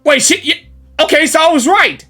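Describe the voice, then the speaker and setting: A woman's voice: high voice, animated, normal speed, sharp tone. A woman giving casual, rambling, giggly reaction commentary on a video game. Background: game music.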